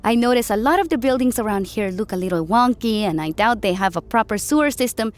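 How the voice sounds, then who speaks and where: A woman's voice: on the high side, expressive, quick pace, close to an everyday voice. A woman playing a proud, candid South American engineer and former dancer in an audiobook, with a South American Spanish accent. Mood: eager. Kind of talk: audiobook character acting. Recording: music behind the voice.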